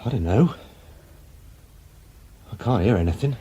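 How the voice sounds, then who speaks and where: An actor playing a nervy, wry English street busker in a British radio drama, grumbling in dry slang. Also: male voice, lowish voice, lively intonation, measured pace, warm tone, husky. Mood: subdued, wry.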